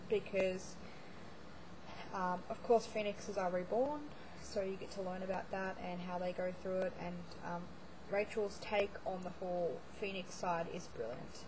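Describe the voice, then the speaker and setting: Woman, book vlogger, chatty and rambling, voice starting to give out, home recording with steady hiss. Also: mid-range voice. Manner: chatty, rambling, lots of fillers and restarts. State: starting to lose her voice. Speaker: book blogger. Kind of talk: casual monthly reading wrap-up vlog.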